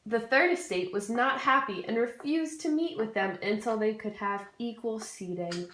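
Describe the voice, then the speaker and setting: Young woman, slightly high voice, student presenter, scripted puppet-show narration, informal, echoey room. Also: moderate pace, fairly bright tone, slight background noise.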